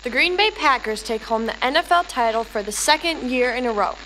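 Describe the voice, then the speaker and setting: A woman acting as a 1930s radio newswoman with a fairly high voice reads scripted bulletins. The sound rings with reverb over faint background noise. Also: animated, a little fast, pushed and bright. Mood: earnest. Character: 1930s radio newswoman reporting national, sports, world news.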